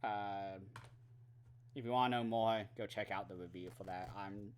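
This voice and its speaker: A man's voice: on the low side, fairly even intonation, moderate pace, clean tone. A man chatting casually in a monthly movie vlog, rambling with many fillers and some swearing, his throat dry and his voice going. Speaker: movie vlogger. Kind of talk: casual monthly wrap-up chat.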